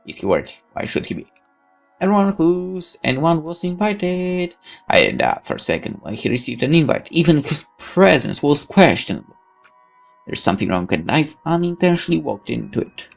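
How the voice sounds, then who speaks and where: Male gamer reading visual novel narration: theatrical, very lively pitch swings, gravelly fry, faint music behind. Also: moderate pace. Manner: theatrical, animated, swearing. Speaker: gaming video host reading narration aloud. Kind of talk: dramatic expressive read-aloud of game narration with asides.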